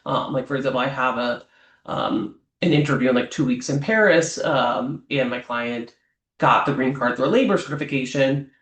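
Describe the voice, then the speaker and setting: A man's voice: mid-range voice, normal speed, fairly bright tone. Talkative male lawyer in an echoey room. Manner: talks off the cuff, ends many points with a questioning "right?"; frequent "um" fillers and restarts. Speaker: immigration lawyer. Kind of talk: explanatory advice talk to clients. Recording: roomy echo.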